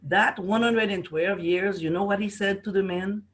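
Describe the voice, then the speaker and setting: A middle-aged woman from Haiti, a podcast guest, telling stories in an animated way with frequent restarts. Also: medium pitch, moderate pace. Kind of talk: conversational storytelling interview.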